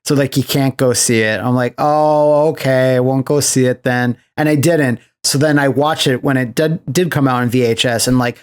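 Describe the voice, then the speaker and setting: A man's voice: mid-range voice, fairly even intonation, moderate pace, hardly any pauses, clear, resonant tone. A man hosting a podcast in casual film chat, telling stories and acting out quoted reactions. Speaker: podcast host. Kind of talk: casual chat about films.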